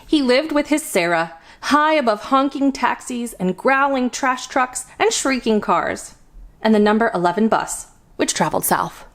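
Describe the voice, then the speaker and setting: A woman hosting a children's storytime, reading a picture book aloud in an expressive, deliberate storytelling manner. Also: a little high. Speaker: children's storytime host and reader.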